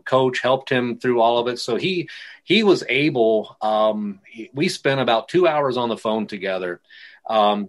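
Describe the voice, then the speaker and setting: A middle-aged man, a pastor and guitarist, rambling through stories in a casual interview with many restarts. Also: medium pitch.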